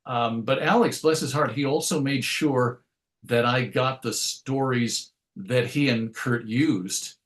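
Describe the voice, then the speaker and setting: A man's voice: medium pitch, takes it slowly. Man giving thoughtful interview answers. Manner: thoughtful, explains through analogies. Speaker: interview guest, writer and radio host.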